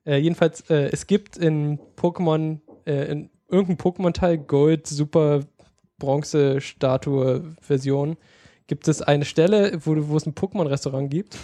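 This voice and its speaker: A man's voice: a little high, fairly even intonation, moderate pace, dark tone, no echo, clean studio sound. A man co-hosting a casual podcast who rambles through his stories and laughs often. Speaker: podcast co-host. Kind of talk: casual chat.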